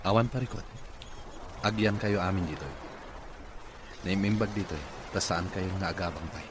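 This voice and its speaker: Man acting deep-voiced, protective Ilocano boss. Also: moderate pace, natural voice. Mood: protective, firm. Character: kind, protective wealthy male boss of a mansion. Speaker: radio drama actor. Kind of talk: radio drama acting in Ilocano. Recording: noisy radio drama with ambience.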